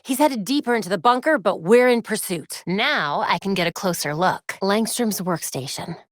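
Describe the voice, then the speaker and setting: A young woman with an American accent voicing a determined, quick-witted vigilante detective in a video game, focused and conversational. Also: mid-range voice, lively intonation, no echo, clean studio sound, natural voice. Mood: urgent.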